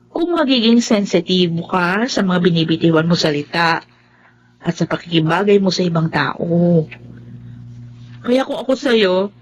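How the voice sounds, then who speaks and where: A woman playing a frank, caring, principled friend in a Filipino radio drama, giving earnest, measured advice. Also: mid-range voice, close to an everyday voice, poor audio.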